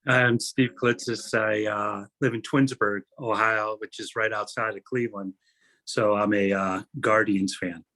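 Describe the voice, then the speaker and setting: A man's voice: on the low side, steady tone, a little slow, sharp tone. A man from the United States casually introducing himself to a group.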